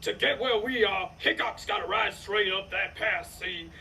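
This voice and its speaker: A man playing a menacing, scheming outlaw henchman with a gravelly, sharp voice in a Western radio drama. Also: high-pitched, measured pace. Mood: gloating. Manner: threatening, sneering. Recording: distant stage microphone, faint noise.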